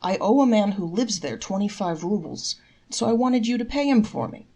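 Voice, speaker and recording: A woman voicing a kindly, devout old male charity collector in a storybook folktale, with a gentle, earnest, coaxing manner. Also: medium pitch, expressive, normal speed, breathy edge, pitched above the performer's own voice. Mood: coaxing, mild. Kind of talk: storybook character voice in a read-aloud folktale.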